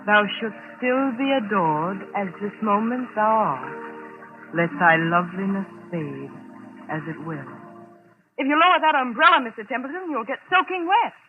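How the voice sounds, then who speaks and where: A woman's voice: medium pitch, normal speed, soft background music, lightly acted. An actress playing a polite but frank young secretary who stands her ground, in old-time radio drama style. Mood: puzzled. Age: young adult. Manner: deferential but candid. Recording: noisy, tinny vintage radio recording.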